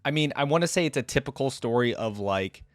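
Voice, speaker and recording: A man's voice: medium pitch, expressive, brisk, no room sound. A man reading a story aloud and commenting on it in a casual, slangy way as a reaction host. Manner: informal, slangy asides. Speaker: host of a game lore reaction video.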